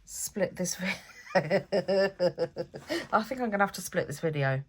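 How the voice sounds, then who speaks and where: A woman's voice: fairly low pitch, animated, unhurried, husky. A woman hosting a craft vlog, chatting and thinking aloud as she works, a bit stuffy with a cold. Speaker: craft channel host. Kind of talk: casual crafting vlog talk.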